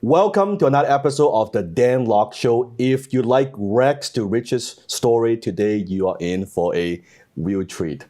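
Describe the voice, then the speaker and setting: A middle-aged man hosting an interview, soft-spoken, murmuring along as he listens. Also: mid-range voice, expressive, measured pace. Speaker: host.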